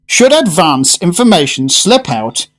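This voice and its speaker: An actor playing a solemn, eccentric, solitary male gourmet in a radio drama, speaking in formal, deliberate, florid phrases. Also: sits high, very animated, a bit bright, heavily acted. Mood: reverent, confiding.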